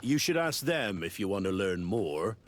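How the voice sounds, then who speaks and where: An actor playing an obliging, plain-spoken seafarer in a video game, helpful and matter-of-fact. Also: male voice, fairly low pitch, sing-song, moderate pace. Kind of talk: video game cutscene dialogue.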